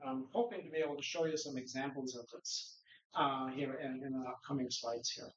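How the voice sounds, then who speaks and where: A man's voice: medium pitch, steady tone, brisk, a bit bright. Man presenting in an echoey room. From Norway. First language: Norwegian. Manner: conversational lecturing with rhetorical questions. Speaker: conference presenter. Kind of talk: conference presentation. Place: conference room. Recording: echoey room, distant mic, dull sound.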